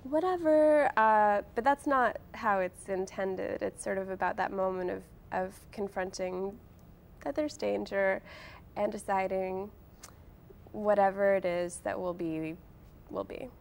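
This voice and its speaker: A woman's voice: medium pitch, measured pace, creaky, slight background noise. A woman in her late twenties talking casually as an interview guest, wry, self-deprecating and chatty. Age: late 20s. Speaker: author and blogger guest.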